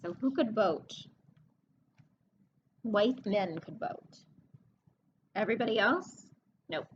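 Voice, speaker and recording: A woman librarian reading a picture book aloud to children, expressive and explanatory, pausing to speak to her young listeners. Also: medium pitch, highs cut off, slight background noise. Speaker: children's librarian hosting story time.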